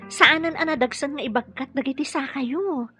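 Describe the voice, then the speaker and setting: An actress playing a dutiful, deferential nurse and mother in a radio drama, husky, earnest and respectful. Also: high-pitched, moderate pace, music in the background, close to an everyday voice. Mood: gentle, earnest.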